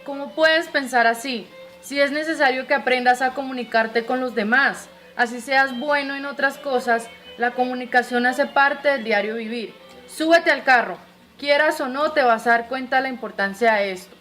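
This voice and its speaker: A woman's voice: high voice, moderate pace, fairly bright tone, soft background music. A woman playing an insistent, anguished widowed mother in an amateur radio drama. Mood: reproachful.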